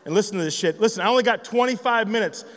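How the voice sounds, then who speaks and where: A high-pitched man in his forties from northern Michigan holds forth from a conference stage, cursing freely and teasing a quiet crowd. His voice carries a long reverb tail from the big hall around him. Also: racing. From northern Michigan, United States. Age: 40s. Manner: brash, joking, swears freely, chides the crowd. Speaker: graphic designer giving a conference talk. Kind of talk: loud, profane stage talk with banter to the audience.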